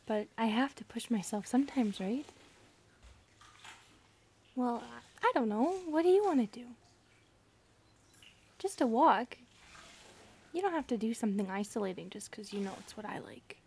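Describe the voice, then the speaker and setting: A woman playing an affectionate, playful, shy centipede monster girlfriend in an ASMR roleplay, soft, breathy and intimate. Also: fairly high pitch, expressive, normal speed, pauses often. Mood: fond, teasing. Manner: intimate, playful, lightly teasing.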